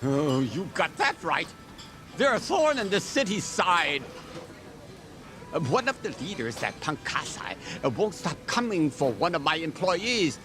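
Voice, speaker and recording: An actor playing an excitable, polite foreign male restaurant boss in a video game, earnest and fretful. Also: fairly high pitch, measured pace, music in the background. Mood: apologetic, worried. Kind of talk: video game cutscene acting.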